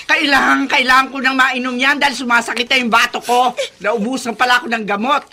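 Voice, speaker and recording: A woman's voice: high voice, steady tone, brisk, bright, forceful tone. A woman with a Filipino accent playing a cruel, short-tempered stepmother who scolds and commands in a radio drama. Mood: bossy, irritable. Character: cruel, bullying stepmother, quick to anger.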